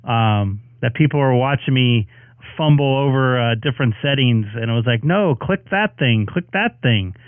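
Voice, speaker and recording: A man's voice: medium pitch, expressive, moderate pace, no room sound. A man hosting a ham radio stream, chatting casually and rambling with many fillers while his voice is starting to go. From Southern California, USA. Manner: rambling, filler-heavy, friendly sign-off talk. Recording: tinny radio audio, dry.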